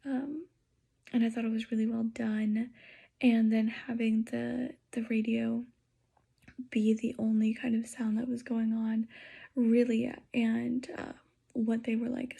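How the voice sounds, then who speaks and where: A woman giving a casual spoken film review as an audience member, pausing often and sprinkling in filler words. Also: fairly high pitch, gravelly, dark tone, husky.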